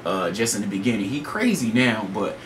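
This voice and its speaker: A man's voice: fairly low pitch, sing-song, fairly quick, recorded from a distance. An American man, a guest hip-hop artist, talking in a slangy, informal, rambling way in a casual radio interview. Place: radio studio. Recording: noisy studio, off the mic.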